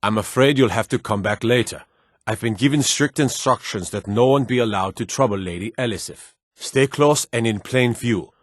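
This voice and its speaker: An actor playing a stern, dutiful fantasy guard and soldier in a video game, formal and commanding, with measured delivery. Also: male voice, mid-range voice, no room sound, studio quality, close to an everyday voice. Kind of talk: scripted fantasy game dialogue.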